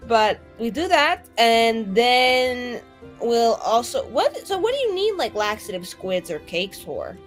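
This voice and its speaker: A woman's voice: a little high, very animated, slow, faint music behind. A woman improvising in character at a tabletop game, talking casually with blunt, irreverent slang. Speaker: tabletop roleplaying player.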